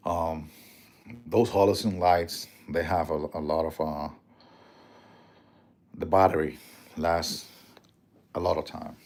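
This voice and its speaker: A man's voice: sits low, steady tone, slow, long pauses, darkish tone. A man with a strong Puerto Rican accent hosting a casual livestream, rambling, swearing freely and answering viewer comments. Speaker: livestream host.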